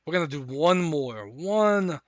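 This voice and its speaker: A man with a fairly high voice, hoarse and raspy because he is losing his voice. He rambles in a hyped, repetitive way as he hosts a live stream. The sound is dry and close, with no room echo. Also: animated, measured pace, highs cut off. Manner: rambling, hyped, repeats himself. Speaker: stream host. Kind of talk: casual live-stream chat and recap.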